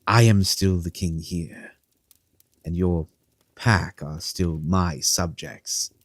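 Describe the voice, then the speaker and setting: Man playing a deep-voiced, haughty king. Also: slow pace, no room sound, clean studio sound. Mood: imperious, contemptuous. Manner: imperious, contemptuous. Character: haughty, dismissive king giving orders to subjects. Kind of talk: fantasy audio drama acting.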